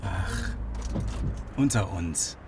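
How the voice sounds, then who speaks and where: A pompous companion who styles himself a legal representative. His voice is fairly low, his wording stilted and formal as he tries to soothe. Faint traffic noise sits behind this radio drama scene. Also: wildly swooping pitch, very slow, heavily acted. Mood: placating. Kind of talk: naturalistic German radio drama acting.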